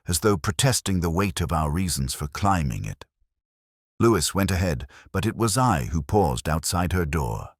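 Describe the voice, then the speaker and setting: A man narrating first-person detective fiction in a measured, literary, brooding manner. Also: a bass voice, no echo, clean studio sound. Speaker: audiobook-style narrator.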